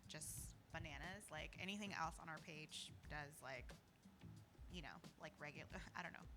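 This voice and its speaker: Low-voiced woman chatting over music. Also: normal speed. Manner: earnest, says "you know" and "like" often. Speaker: community organizer and guest. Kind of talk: casual podcast conversation.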